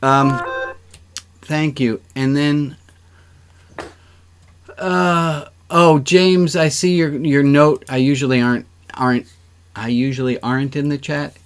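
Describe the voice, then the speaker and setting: A man's voice: mid-range voice, fairly even intonation, takes it slowly, pauses often, slight background noise. A man speaking as a rabbi, giving chatty, informal announcements to an online congregation on a video call, with joking asides. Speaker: rabbi hosting an online service.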